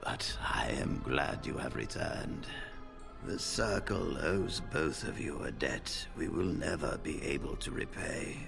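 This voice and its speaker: Tired old mage boss, super gravelly and slow, wry, fantasy game with music behind. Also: male voice, extremely low pitch, lively intonation, full character voice. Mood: grateful, solemn. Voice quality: gravelly, creaky. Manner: slow, weary, deliberate. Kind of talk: fantasy video game acting.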